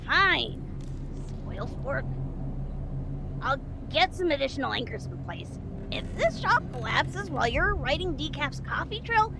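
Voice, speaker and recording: A woman's voice: sits high, big pitch swings, unhurried, vocal fry, background noise, heavily acted. A woman playing a mischievous, flippant pixie techno-mage in an audio drama, with a sarcastic, teasing delivery.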